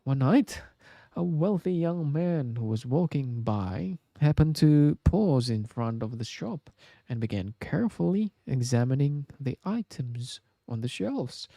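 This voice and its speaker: A man reading a story aloud as scripted narration, with a measured, dramatic storybook delivery. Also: medium pitch, animated, vocal fry, dark tone, dry recording. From Indonesia.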